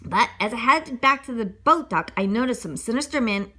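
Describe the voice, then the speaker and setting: A woman narrating a children's book aloud in a dramatic, expressive storybook style. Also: fairly high pitch, moderate pace. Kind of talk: animated storybook read-aloud.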